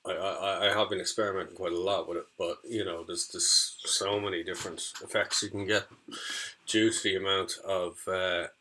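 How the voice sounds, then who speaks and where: A man with a deep voice and a bright, forward edge gives an informal demonstration. His delivery is halting and hesitant, as if feeling his way through the explanation. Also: steady tone, a little hiss or hum, low-quality recording. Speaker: music student demonstrating audio effects.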